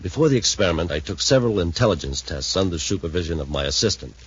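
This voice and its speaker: An actor playing an earnest, guarded scientist in a 1950s radio drama. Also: male voice, sits low, animated, fast talker, fairly bright tone, breathy edge, exaggerated voice. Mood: hesitant, intent. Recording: dull 1950s radio recording.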